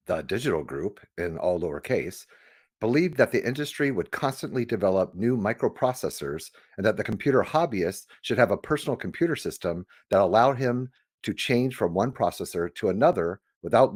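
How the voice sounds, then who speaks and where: A man's voice: fairly low pitch, darkish tone, dry recording, clean studio sound. A man reading scripted audiobook narration. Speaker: audiobook reader.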